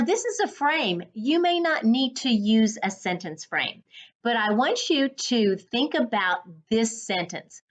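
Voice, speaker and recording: A woman reading a children's story aloud as a reading coach, with a deliberate, instructive, teacher-like delivery. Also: a little high, a bit bright. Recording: dull lo-fi sound.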